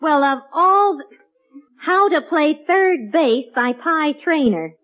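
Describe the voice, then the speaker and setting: A woman's voice: high voice, lively intonation, takes it slowly. An actress playing a sensible, exasperated American mother with a scolding, pointed delivery in old radio sitcom style. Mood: exasperated, stern. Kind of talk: 1940s radio sitcom acting. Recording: muffled old radio broadcast.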